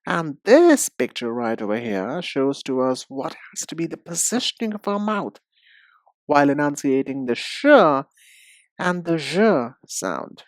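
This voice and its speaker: Male language tutor for lesson narration. Slow, deliberate delivery, expressive mid-range pitch, addresses the learner directly. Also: no echo. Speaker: English pronunciation teacher. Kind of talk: instructional lesson.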